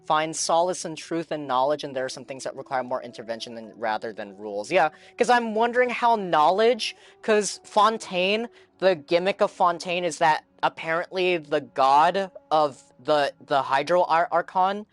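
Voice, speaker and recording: A man's voice: on the high side, animated, normal speed, hardly any pauses. A man streaming a game, giving casual live reaction commentary and thinking aloud in a rambling way.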